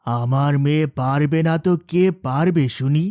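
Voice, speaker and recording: Lady putting on a caring cartoon dad, low pitched, pious and emphatic, dry close sound. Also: normal speed, warm tone, no room sound, lightly acted. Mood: proud, weary. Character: caring, pious father of a young girl. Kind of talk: children's moral cartoon dubbing.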